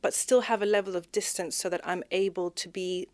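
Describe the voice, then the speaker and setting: Woman from zimbabwe chatting in an interview, lively, gets quick and high when excited, dry mic. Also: no echo. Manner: expressive, speeds up and rises in pitch when animated. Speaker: podcast guest. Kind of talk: conversational interview.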